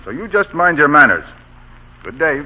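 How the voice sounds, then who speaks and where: An actor playing a dignified middle-aged army colonel, gruff, authoritative and amused, in a vintage radio drama. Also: male voice, a little high, sing-song, normal speed. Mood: amused, stern. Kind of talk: 1930s-40s radio drama acting. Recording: tinny, noisy, echoing old radio broadcast.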